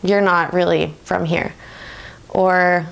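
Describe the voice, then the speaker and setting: A woman's voice: lowish voice, little pitch movement, takes it slowly, creaky, fairly bright tone, clean tone, poor audio. A woman in her twenties with an American accent, speaking informally and reflectively in a conversational interview. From United States. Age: 20s. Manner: informal, often laughs while talking.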